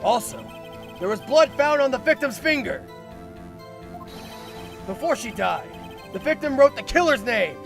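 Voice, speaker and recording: A man voicing a bumbling, stammering police detective with a gravelly voice, in a fan-dubbed courtroom drama. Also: extremely high pitch, animated, measured pace, pauses often, a bit bright, faint music behind, exaggerated voice. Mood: blustering.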